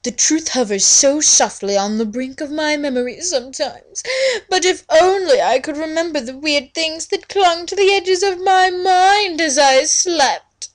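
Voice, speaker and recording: A woman's voice: sits high, a little slow, voice pushed up. A woman voicing a moody, hot-tempered, self-important gothic teenage girl in an overwrought, melodramatic manner. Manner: overwrought, melodramatic, swooping between hush and screech. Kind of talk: parody dramatic fanfiction reading.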